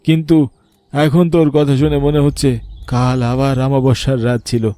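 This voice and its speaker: Man playing bright-less, mellow concerned older uncle. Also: mid-range voice, level tone, moderate pace, dry recording, natural voice. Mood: grave. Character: concerned older uncle, stern yet protective. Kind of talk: cartoon story voice acting.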